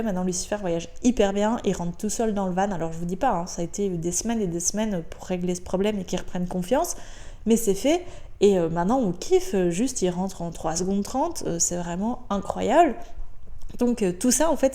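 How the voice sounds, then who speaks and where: A woman's voice: mid-range voice, normal speed, dark tone. A Belgian woman with a French accent hosting her own podcast, talking in a chatty, upbeat way with many filler sounds and repeated words. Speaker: podcast host. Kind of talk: casual solo podcast monologue. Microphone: podcast microphone.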